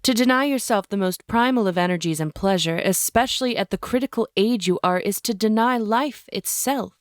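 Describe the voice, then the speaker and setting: A woman playing a formal, protective witch who leads a coven in an audio drama, speaking with measured authority. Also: medium pitch, no echo, clean studio sound, lightly acted. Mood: solemn instruction. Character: stern, formal female coven leader, protective and wise.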